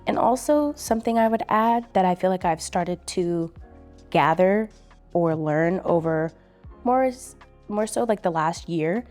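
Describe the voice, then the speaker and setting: A woman's voice: medium pitch, a little mellow. A woman in her twenties chatting with her partner on their vlog, upbeat and earnest. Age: 20s. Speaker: co-host of couple channel. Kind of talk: casual chat.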